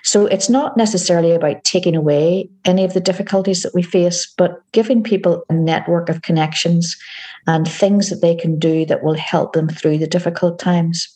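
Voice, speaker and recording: A woman with a Northern Irish accent, a community organisation director, giving calm, measured answers as a podcast interview guest. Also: on the low side. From Northern Ireland.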